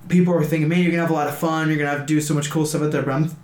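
A man's voice: fairly high pitch, level tone, very fast, a little mellow. A man aged twenty in a casual interview chat, talking in a rambling, slangy, enthusiastic way as a fighter. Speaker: amateur MMA fighter being interviewed. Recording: echoey room with faint background noise.

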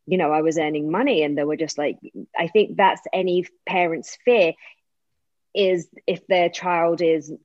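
Actress, guest seat, very low voice, chatty and restless, dull lo-fi sound. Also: female voice, a little slow, dry recording. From England. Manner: chatty, animated retelling with many fillers and restarts. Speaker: actor, interview guest. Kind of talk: casual interview chat. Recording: dull lo-fi recording.

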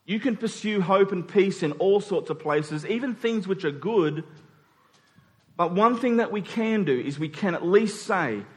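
A man's voice: high-pitched, animated, unhurried. A man preaching a conversational sermon in an informal way, acting out dialogue in everyday words. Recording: long hall reverb.

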